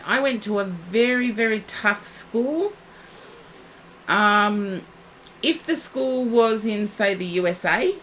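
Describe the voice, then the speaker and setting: Brief: woman psychic live-streamer, slow and soothing, slightly high voice, going hoarse. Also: a bit bright, a little hiss or hum, rough recording. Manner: soothing, reassuring, unhurried. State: losing her voice.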